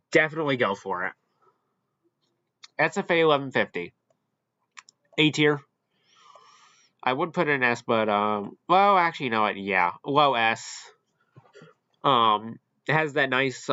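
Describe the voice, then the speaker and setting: A man hosting a casual, unscripted ranking commentary, halting and rambling as he corrects himself along the way. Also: mid-range voice, sharp tone, no echo, highs cut off. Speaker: video host ranking products.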